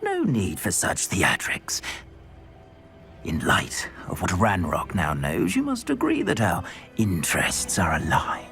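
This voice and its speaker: An actor playing a menacing, condescending male wizard villain in a video game, speaking in slow, whispered threats. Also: deep voice, wildly swooping pitch, a bit bright, heavily acted. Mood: scheming. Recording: game audio with music.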